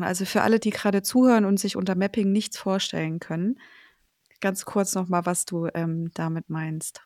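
A woman's voice: mid-range voice, darkish tone, no echo. A woman with a mid-range voice hosts a podcast interview, asking friendly questions and nodding along with short affirmations. She is recorded close and dry.

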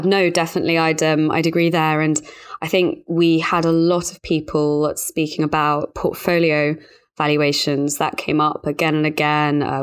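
A woman speaking as a financial journalist in a conversational industry discussion, explaining things in a measured, careful way. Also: low voice, smooth. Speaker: financial journalist co-hosting a podcast.

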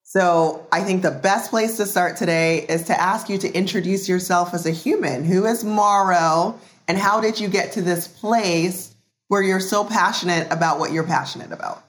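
A woman's voice: on the low side, normal speed. A woman from Jamaica hosting a podcast interview, encouraging and friendly with her guest. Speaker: podcast host.

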